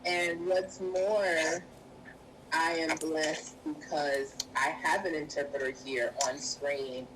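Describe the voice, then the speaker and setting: Low-voiced woman interpreter, noisy recording. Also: fairly even intonation. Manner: measured, halting phrasing while interpreting. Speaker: sign language interpreter voicing a deaf guest. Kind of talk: live spoken interpretation in an interview.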